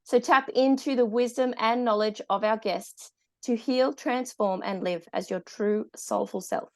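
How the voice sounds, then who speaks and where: Woman, 40s, warmly enthusiastic podcast host speaking through an earphone mic on a call. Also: fairly high pitch, fairly even intonation, a little slow. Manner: enthusiastic, affirming, laughs often. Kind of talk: podcast interview. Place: bright room at home. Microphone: earphone mic on video call.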